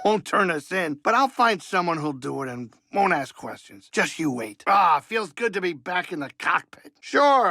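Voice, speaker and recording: An actor playing a fussy, good-hearted middle-aged alien pilot and ship's cook in a video game, with a gravelly voice and a folksy, fretful, chatty manner. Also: male voice, fairly high pitch, sharp tone, dry recording, studio quality, full character voice. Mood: fond, affectionate. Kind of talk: video game character acting.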